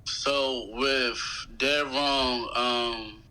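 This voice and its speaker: Casting: male rapper guest, Philadelphia accent, bright forceful tone, slangy conversational storytelling. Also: medium pitch, very slow, clean tone, rough recording. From West Philadelphia, USA. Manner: colloquial, slangy, animated retelling with quoted dialogue. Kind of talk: casual chat, storytelling.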